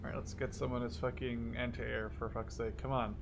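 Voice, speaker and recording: A young man giving casual, excitable gameplay commentary, swearing freely as he reacts out loud to the game. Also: medium pitch, a little fast, vocal fry, noisy background. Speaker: gaming video host. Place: small room at home, indoors.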